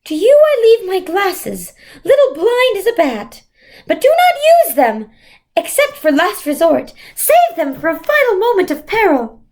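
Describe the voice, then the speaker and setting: A woman's voice: extremely high pitch, wildly swooping pitch, a little slow, a little mellow, pitched above the performer's own voice, heavily acted. A woman voicing an otherworldly female being in a storybook, reciting cryptic lines in a lofty, theatrical way. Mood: urgent, oracular. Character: otherworldly female being quoting riddling verse, solemn and theatrical.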